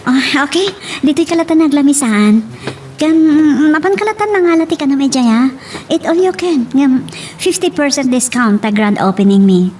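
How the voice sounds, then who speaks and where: A woman's voice: high voice, expressive, normal speed, a little mellow, recorded from a distance, slight background noise. A woman with an Ilocano accent playing a friendly young restaurant owner in an audio romance drama. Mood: welcoming. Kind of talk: Filipino radio-style romance drama acting.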